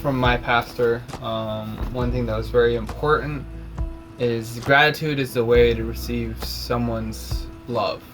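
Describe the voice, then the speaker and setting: Man, from Russia, co-host, casual reflective chat, average pitch, music underneath. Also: a little slow. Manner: relaxed, reflective, talks about faith.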